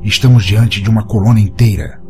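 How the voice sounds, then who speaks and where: A man's voice: fairly low pitch, fairly even intonation, a little fast, over music, natural voice. Man playing a stern, grumpy veteran hunter. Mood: tense, hushed. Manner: stern, commanding. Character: grumpy, seasoned older male monster-hunter leader. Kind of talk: audio short story dialogue.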